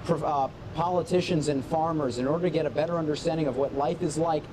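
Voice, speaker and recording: A man's voice: on the high side, steady tone, fast. A man reporting live for television news from outside a municipal building. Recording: live location with crowd and traffic noise.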